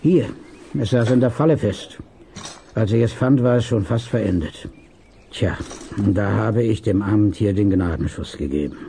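An actor playing a grave, plain-spoken woodsman in a children's audio drama, with a gruff, raspy voice. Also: fairly low pitch, normal speed, mellow, a little hiss or hum. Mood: regretful. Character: sober, regretful adult woodsman, protective of animals. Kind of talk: 1970s German children's audio drama acting.

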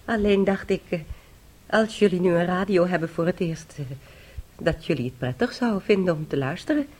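An actress playing a prim, inquisitive young woman visiting relatives in a radio drama, polite and quietly insistent. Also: fairly low pitch, brisk, lightly acted. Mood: polite, modest.

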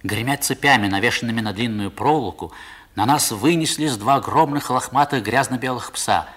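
A man acting as the narrator of a 1960s radio drama, recalling his boyhood in measured, expressive literary prose. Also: mid-range voice, natural voice. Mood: tense, excited. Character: grown male narrator recalling his seaside boyhood adventure.

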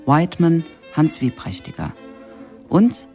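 A woman's voice: low-pitched, big pitch swings, takes it slowly, a little mellow, soft background music. A woman announcer formally reading out scripted radio drama credits.

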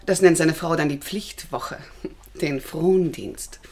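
A woman's voice: low-pitched, slow, darkish tone. A woman playing a self-assured, teasing French society lady who mentors and commands, in a German radio play. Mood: playful, confiding.

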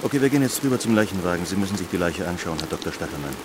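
An actor playing a brisk, matter-of-fact male homicide detective with a dry, businesslike manner in a radio play. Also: low-pitched, animated. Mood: brisk, weary. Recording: noisy scene with rain.

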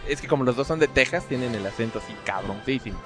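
A man's voice: medium pitch, lively intonation, fast talker, music in the background. A man with a Mexican accent co-hosting a podcast, recapping a film in casual, slangy, profane and joking talk. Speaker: podcast co-host.

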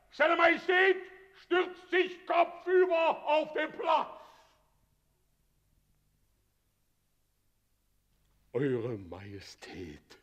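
An actor playing a fawning, addled old town mayor with a pompous, ceremonious manner in a German radio play. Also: male voice, medium pitch, a bit bright, heavily acted. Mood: officious, excited. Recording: long echoing hall reverb.

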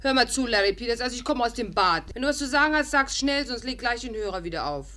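An actress playing a sharp-tongued, wary woman who tells her own story with dry sarcasm in a 1950s German radio drama. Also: fairly high pitch, lively intonation, quick pace. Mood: irritated, suspicious. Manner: sardonic, dry. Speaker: actress playing the lead and narrator. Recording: vintage 1950s radio production.

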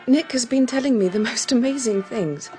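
An actress playing a quiet, earnest and slightly nervous young woman with an English accent in a radio mystery drama. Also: a little high, moderate pace, faint music behind, close to an everyday voice. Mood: earnest, concerned.

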